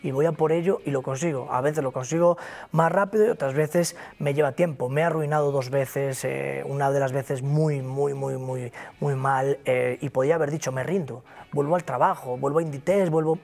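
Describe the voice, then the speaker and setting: A man's voice: medium pitch, fairly even intonation, moderate pace, gravelly, a little mellow. A man with a Galician Spanish accent, a guest in an interview, speaking emphatically and repeating himself in a motivational way. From Galicia, Spain. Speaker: interview guest, broker company founder. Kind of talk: interview conversation.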